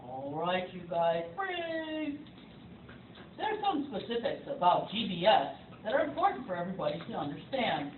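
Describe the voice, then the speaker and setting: A woman's voice: mid-range voice, very animated, moderate pace, lightly acted. A woman narrating an educational puppet show with a scripted, instructive delivery aimed directly at the viewers. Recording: tinny, echoey, distant recording with faint noise.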